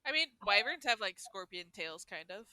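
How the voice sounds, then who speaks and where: A woman's voice: mid-range voice, sing-song, normal speed, bright, forceful tone. A woman co-hosting a podcast, chipping in with casual remarks. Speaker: podcast co-host. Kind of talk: casual chat.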